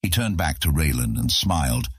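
A man narrating a fantasy audiobook as a scripted story, with a gravelly, husky voice. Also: very deep voice, animated, moderate pace, no room sound, studio quality.

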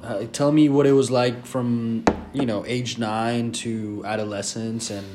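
A man's voice: mid-range voice, level tone, unhurried, background noise. A man hosting a podcast, asking many curious questions and laughing easily in casual chat. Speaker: podcast host. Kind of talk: casual interview.

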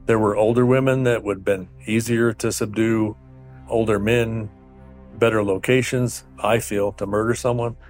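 A man, an investigator, recounting details of a case in a measured, matter-of-fact interview. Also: lowish voice, steady tone, faint music behind. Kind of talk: interview clip.

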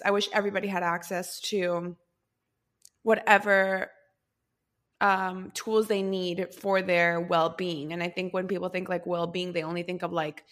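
A woman's voice: fairly low pitch, fairly bright tone. A woman hosting a podcast, talking in a chatty, rambling way with many fillers and asides. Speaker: podcast host. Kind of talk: casual podcast conversation.

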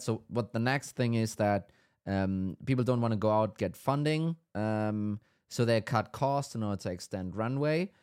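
Low-voiced man explaining business casually. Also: a little mellow. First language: Danish. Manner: explanatory, filler-heavy with many ums and restarts. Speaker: podcast host. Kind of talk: casual business podcast chat.

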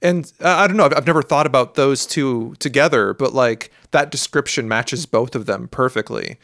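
A man co-hosting a casual podcast, rambling and animated as he talks about albums, with plenty of fillers. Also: mid-range voice, normal speed, dry recording, clean studio sound.

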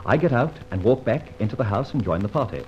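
An Australian actor playing a suave, playful gentleman thief in a 1950s radio drama, brisk and theatrical. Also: medium pitch, animated, close to an everyday voice. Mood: scheming, playful. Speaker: lead character. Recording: dull old radio recording, faint hiss.

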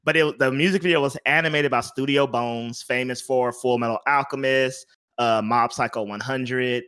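A man chatting on a podcast, enthusiastic, sometimes dropping into soft asides. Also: mid-range voice, steady tone, normal speed, clean tone. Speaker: podcast co-host. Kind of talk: casual chat.

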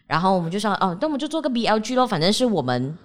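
A woman's voice: mid-range voice, very animated, racing. A woman with a Malaysian accent co-hosting a casual podcast chat. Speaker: podcast co-host.

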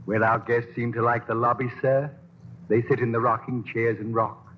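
An actor playing a staid, unruffled hotel clerk, formal, polite and deadpan, in 1940s radio style. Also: male voice, medium pitch, moderate pace, a little hiss or hum, natural voice. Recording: muffled old radio broadcast.

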